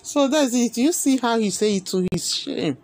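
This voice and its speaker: A man's voice: very high-pitched, expressive, normal speed, slight background noise. A man with a Nigerian accent giving earnest opinionated commentary, repeating himself with many fillers. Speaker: video commentator.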